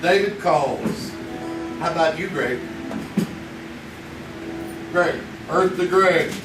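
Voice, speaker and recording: An actor playing a joking young man who deals at a card game in a live old-time radio play, teasing and unhurried, recorded from a distance on a theater stage. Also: on the high side, roomy sound, over music. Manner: teasing, laughing.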